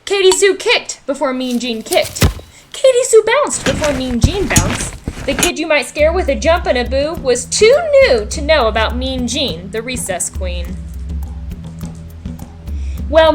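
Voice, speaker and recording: Woman storyteller, high voice, very lively swooping intonation, children's book narration, dramatic sing-song delivery, music underneath. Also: unhurried. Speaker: read-aloud storyteller. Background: background music.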